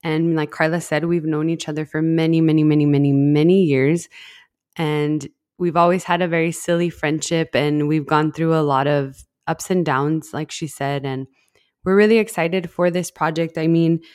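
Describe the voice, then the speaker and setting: A woman's voice: low-pitched, steady tone, moderate pace, a little mellow. A young woman from El Paso, co-hosting a podcast in earnest, friendly casual chat. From El Paso, Texas. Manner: friendly, earnest, repeats words. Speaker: podcast co-host.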